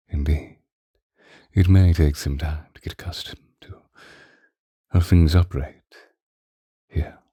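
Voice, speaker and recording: An ancient male vampire lord acted by a man speaks in an extremely low, very dark voice, slowly and intimately. His breathy, formal phrasing makes him a courtly, possessive lover in a romantic ASMR roleplay, recorded close and dry. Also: no room sound, heavily acted. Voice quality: breathy, husky. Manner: slow, theatrical, formal old-fashioned diction with lingering pauses.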